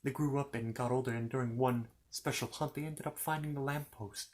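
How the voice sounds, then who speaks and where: A man's voice: mid-range voice, steady tone, fairly quick. A man telling a children's fantasy story in an informal ASMR style, rambling along with playful, courteous asides to the listener.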